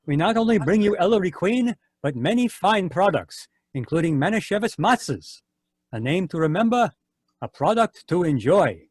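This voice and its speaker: A man reading old-time radio commercials in a smooth salesman cadence. His voice is breathy and a little higher than most, and the sound is dry and close. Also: sing-song, normal speed, no room sound. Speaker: announcer reading sponsor ads. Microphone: home microphone on a video call.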